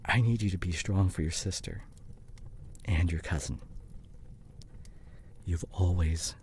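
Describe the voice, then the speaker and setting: A man acting a loving, grieving husband and father in an emotional ASMR audio drama, halting and tender, often dropping toward a whisper. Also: sits low, a little mellow, a little hiss or hum. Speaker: audio roleplay performer.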